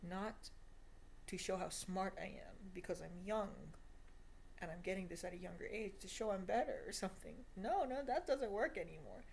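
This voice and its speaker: A woman's voice: mid-range voice, normal speed, vocal fry, darkish tone, a little hiss or hum. A woman of twenty giving a confessional video diary monologue in an emphatic, repetitive, self-deprecating way. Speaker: vlogger.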